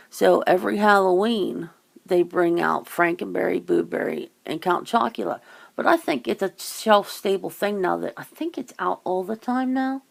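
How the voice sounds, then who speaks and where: A woman's voice: on the low side, creaky. A woman having a casual phone chit-chat, rambling and teasing, with some casual swearing. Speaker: ASMR channel host.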